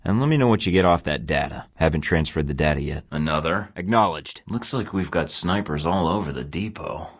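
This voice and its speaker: A cocky young secret agent for a video game, speaking in a very low register. His lines come out clipped and deadpan, and he slips in sardonic jabs between mission calls. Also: fairly quick, hardly any pauses, exaggerated voice. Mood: wry, clipped. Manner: terse, dry and sardonic. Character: wry, cocky young male spy agent. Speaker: game protagonist.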